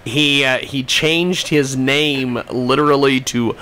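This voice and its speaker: A man hosting a casual live talk show, speaking haltingly and repeating words as he goes. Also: medium pitch, steady tone, pushed and bright, clear, resonant tone. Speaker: radio show host. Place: radio studio.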